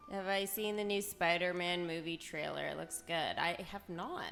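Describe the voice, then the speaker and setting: A woman's voice: fairly low pitch, normal speed, vocal fry, a bit bright. A woman casually commenting as she plays a video game on stream and reads some dialogue aloud, reacting openly to what she sees. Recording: faint game music behind the voice. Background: video game music.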